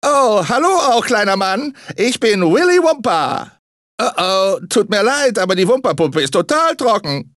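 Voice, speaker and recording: A manic talking fruit mascot from a cartoon video game. The voice is very high and swoops all over, theatrical and grumpy under a sugary sales pitch. The sound is dry and close. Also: male voice, very animated, measured pace, fairly bright tone, no room sound, heavily acted. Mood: cheery salesman. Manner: theatrical, swinging between sugary salesman and irritable grumbler. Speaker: video game character actor.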